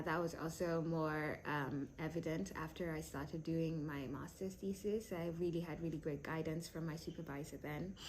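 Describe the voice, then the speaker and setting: A woman's voice: fairly low pitch, darkish tone, a little hiss or hum, poor audio. A young woman from South Sudan, raised in Kenya, answering interview questions thoughtfully with many fillers and restarts. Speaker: PhD candidate.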